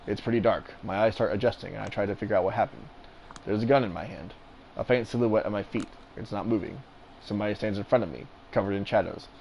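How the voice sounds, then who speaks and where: A man with a fairly deep voice reads a text adventure aloud and breaks off for offhand comments on the writing. His delivery is casual, with some swearing. A clear hiss of background noise runs under the recording. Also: fast, darkish tone, lo-fi sound. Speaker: streamer playing a text adventure game. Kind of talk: reading game text aloud with asides.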